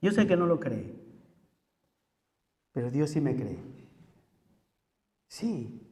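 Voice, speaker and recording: A man's voice: on the high side, sing-song, slow pace, a little mellow. A man with a Peruvian Spanish accent preaching as a pastor, repeating phrases for emphasis and putting questions to his congregation. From Peru. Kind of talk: sermon. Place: church hall. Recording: long hall reverb.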